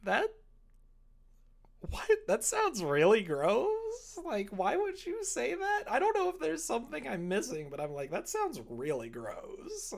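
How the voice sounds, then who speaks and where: A man's voice: very high voice, huge pitch swings, unhurried, gravelly. A young man with an American accent chats casually on a livestream, rambling expressively as he reacts to comments. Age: young adult. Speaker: live streamer. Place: indoor room at a desk.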